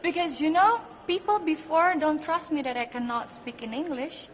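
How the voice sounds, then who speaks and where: A young woman with an Indonesian accent speaks in a fairly high voice, answering interview questions earnestly and emphatically. Her English has non-native phrasing. There is clear noise around her. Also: expressive, moderate pace. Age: 20s. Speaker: beauty pageant contestant. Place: indoor press backdrop at a pageant event. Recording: clearly noisy room ambience.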